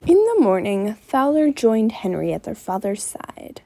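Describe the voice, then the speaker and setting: A woman's voice: medium pitch, sing-song, measured pace, mellow. A woman reading scripted story narration as a student volunteer in readers theater.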